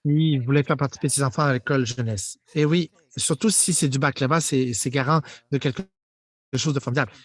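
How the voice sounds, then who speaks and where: A man voicing a live interpretation of a panel discussion. His delivery is halting, and he restarts and rephrases his sentences on the fly. His pitch sits in a plain middle range for a man. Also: steady tone, dry recording. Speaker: simultaneous interpreter.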